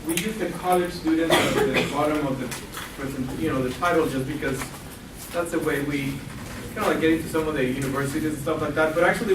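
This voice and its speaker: A man in his forties from Guatemala and Costa Rica, giving a chatty financial literacy workshop and asking the audience questions. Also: on the high side. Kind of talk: classroom workshop presentation. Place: lecture room. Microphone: far camera. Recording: echoey room from far off, noisy.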